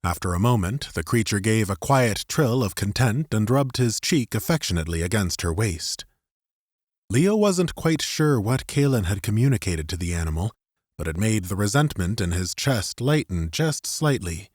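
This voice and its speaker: A low male voice reads scripted fiction as an audiobook narrator. The recording is very dry and close, like a studio microphone, with the story told in third person. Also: sing-song, moderate pace, dry recording.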